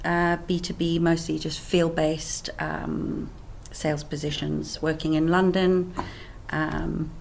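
A woman's voice: low-pitched, flat delivery, slow pace, gravelly, few pauses, slight background noise, low-quality recording. A woman in her fifties with a South African accent, answering interview questions in a candid, chatty way. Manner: candid, chatty, laughs easily. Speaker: sales trainer guest.

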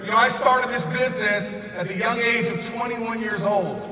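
A man in his thirties giving a loud, excited motivational speech on a big convention stage, often shouting to the crowd. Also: very high-pitched, moderate pace, a little hiss or hum. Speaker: convention speaker. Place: large convention arena stage. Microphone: far recording from the audience. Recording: echoey arena recorded from far off.

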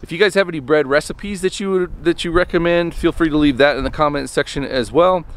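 A man's voice: fairly high pitch, a little fast. A man in his thirties talking casually to a handheld camera outdoors on his farmstead, rambling with many ums and restarts. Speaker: homestead vlogger. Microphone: handheld camera at arm's length.

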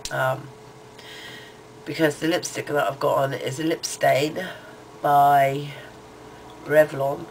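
A woman's voice: low-pitched, steady tone, slow, gravelly, pauses often. A middle-aged woman with a cold, sounding nasal and congested, chatting loosely through a makeup haul with plenty of ums. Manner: rambling, hesitant, lots of ums. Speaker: beauty vlogger. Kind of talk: casual makeup haul chat. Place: small cluttered bedroom, indoors. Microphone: webcam close to the face. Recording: noisy home webcam audio.

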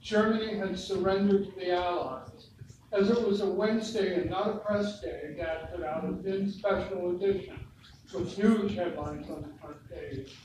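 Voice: mid-range voice, normal speed. An older speaker from Oregon with an American accent, reading written reminiscences aloud at a local talk. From Lebanon, Oregon, United States. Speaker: long-time resident speaker at local history talk. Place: indoor meeting room with hard walls. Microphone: far camera across the room. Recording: distant mic, very echoey noisy room.

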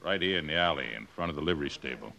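An actor playing a gruff, suspicious old West sheriff in a radio western, blunt and commanding, with an American Western accent. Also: male voice, rumbling low, lively intonation, very fast, sharp tone, exaggerated voice. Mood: testy.

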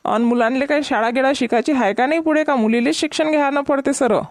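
A woman's voice: high-pitched, fast, a little mellow, no echo, clean studio sound, lightly acted. A woman voicing a mildly indignant village youngster in a comedy cartoon, questioning in a Vidarbha Marathi accent. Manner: questioning, protesting.

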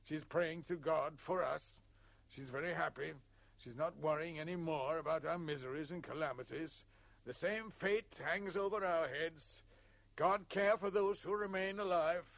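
A man's voice: on the high side, a little slow, creaky, a bit bright, no echo. A man playing an ageing giant nobleman in a storybook reading, theatrical and declamatory, given to melodramatic exclamation. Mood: self-pitying, then brisk. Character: ageing giant nobleman, bellowing melodramatically between grief and glee.

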